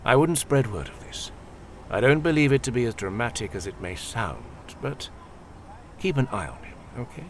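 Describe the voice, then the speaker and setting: A man playing a calm, fatherly high priest in a fantasy audio drama, speaking in a measured, gently admonishing way. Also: slight background noise, lightly acted. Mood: calm, reassuring.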